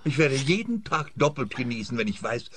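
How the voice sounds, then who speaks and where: An actor playing an aggrieved, excitable, vengeful man in a comic radio play, full of exaggerated disgust. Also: medium pitch, fairly quick, noisy background, close to an everyday voice. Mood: vengeful, bitter. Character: aggrieved, excitable man once wrongly suspected.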